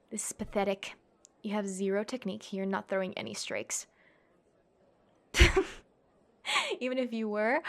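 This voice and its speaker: A cocky young female cage fighter, acted by a woman in an ASMR roleplay. Her voice sits at an average pitch for a woman. She taunts with scoffing contempt and swaggering menace, protective underneath, and draws out her threats for effect. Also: natural voice. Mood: mocking, scornful. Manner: taunting, swaggering, foul-mouthed, theatrical pauses and scoffing.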